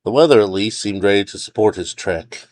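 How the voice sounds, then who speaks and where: A man's voice: lowish voice, brisk, darkish tone, no echo. A man reading his own fiction aloud as a scripted story narrator.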